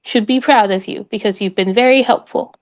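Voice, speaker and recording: A woman's voice: fairly high pitch, a little fast, close to an everyday voice. A woman playing an awkward, supportive female adventurer with a hesitant, earnest way of talking in a tabletop game. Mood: gentle, supportive.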